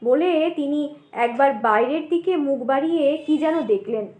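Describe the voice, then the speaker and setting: A woman's voice: high-pitched, unhurried, darkish tone, echoey room. A woman narrating a horror story in a dramatic, suspenseful, theatrical style.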